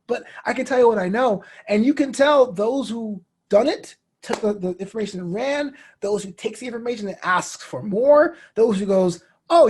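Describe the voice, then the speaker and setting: A man in his thirties talking casually as an interview guest, telling animated stories with slang and acted-out quotes. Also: sits high, husky. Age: 30s. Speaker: interview guest, DJ, comedian and host.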